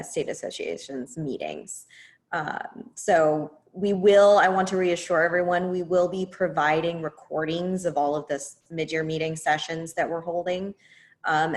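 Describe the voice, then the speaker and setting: A woman giving semi-formal staff updates on a conference call in a careful, reassuring manner. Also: sits low. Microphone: conference call line.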